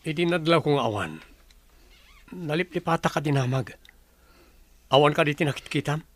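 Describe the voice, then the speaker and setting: Male lead, slightly high-pitched Ilocano boss, uneasy and doubting, radio drama. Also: expressive, normal speed, a bit bright. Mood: worried. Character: troubled, doubting businessman and boss, uneasy expectant father.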